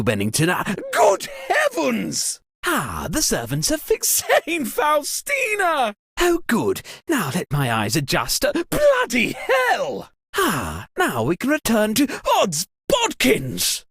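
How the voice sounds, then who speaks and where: A woman's voice: medium pitch, slightly rough, dry recording, studio quality, full character voice. A woman voicing a fussy, easily scandalized upper-class party host in a video game, with a pompous, exclamatory delivery. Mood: flustered, startled. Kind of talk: theatrical scripted game voice lines.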